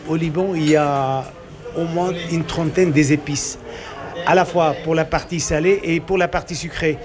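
A man's voice: a little high, fairly even intonation, moderate pace, a crowd in the background. A man answering a street interview, promoting his restaurant's food with frequent fillers. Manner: warm promotional, hesitant fillers. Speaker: restaurant worker.

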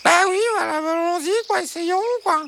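Woman as a very high, creaky, flustered hen. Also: level tone, normal speed, a bit bright, slight background noise, exaggerated voice. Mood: hesitant. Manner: dithering, stammering, colloquial. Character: flustered, wary hens debating in a henhouse.

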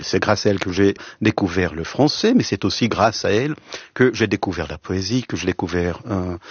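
A man in his fifties with Hebrew as his first language, answering interview questions as a writer in a halting, reflective way. His voice sits very low and sounds husky. The recording is dry and a little dull. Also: dry recording. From Israel. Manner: halting, many hesitations and restarts. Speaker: writer and translator, guest.

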